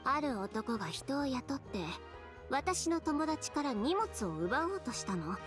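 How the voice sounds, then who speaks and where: A woman playing a cool, proud, sharp-tongued young seamstress in a game, curt and self-assured. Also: high-pitched, very animated, a little slow, over music. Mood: curt, confident.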